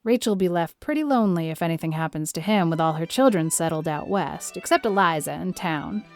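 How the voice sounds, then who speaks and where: A woman playing a stern, no-nonsense older country guardian in an audio drama, brisk, opinionated and plain-spoken. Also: on the low side, animated, darkish tone, faint music behind. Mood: gossipy, dry.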